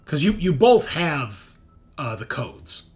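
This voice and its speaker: A man's voice: a little high, very animated, takes it slowly, a little mellow. A man narrating a tabletop roleplaying game as game master, conversationally, often checking in with his players. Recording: noisy background ambience.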